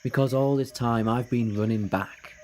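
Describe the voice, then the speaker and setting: A man's voice: mid-range voice, steady tone, moderate pace, darkish tone, slight background noise, natural voice. A man playing an eager, ageless time-travelling adventurer in an audio drama, earnest and persuasive with flippant asides. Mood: wistful, confessional. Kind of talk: fan audio drama acting.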